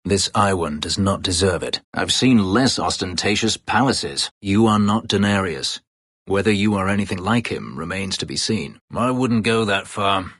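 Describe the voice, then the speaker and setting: A man playing a brooding, bitter elf warrior and escaped slave in a video game, terse, grave and sardonic, with a gravelly, husky voice. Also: low-pitched, normal speed, a bit bright, no echo, studio quality. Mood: dry, wary. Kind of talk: video game character voice lines.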